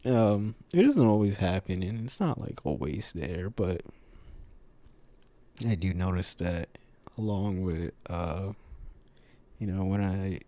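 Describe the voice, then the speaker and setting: A man talking to camera in a casual, reflective monologue, slightly nasal, halting and full of pauses and fillers. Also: sits low, lively intonation, warm tone. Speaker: vlogger.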